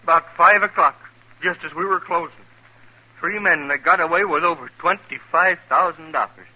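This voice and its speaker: An actor playing an agitated, indignant frontier bank owner in a western radio drama, with an American accent and a fretful, scolding manner. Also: male voice, fairly high pitch, moderate pace, bright, forceful tone, low-quality recording.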